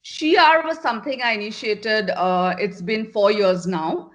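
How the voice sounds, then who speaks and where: A woman's voice: medium pitch, measured pace. A middle-aged woman from Northeast India, a managing partner at an agency, answering interview questions in an earnest, direct way, often repeating words for emphasis.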